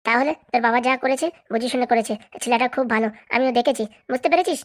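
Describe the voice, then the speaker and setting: A woman's voice: fairly high pitch, fast talker, lightly acted. A woman voicing a firm, coaxing middle-aged mother in a comedy cartoon, nagging and insistent. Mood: insistent, scolding. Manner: nagging, repeatedly checking she is understood. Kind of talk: Bengali comedy cartoon dub.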